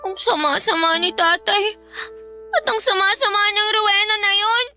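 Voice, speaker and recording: Very high, pleading little schoolgirl. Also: moderate pace, bright, forceful tone, heavily acted. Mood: hurt, tearful. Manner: pleading, tearful, childlike. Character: anxious, sweet young daughter, a schoolchild. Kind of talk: Tagalog radio drama acting. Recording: dry studio with music behind.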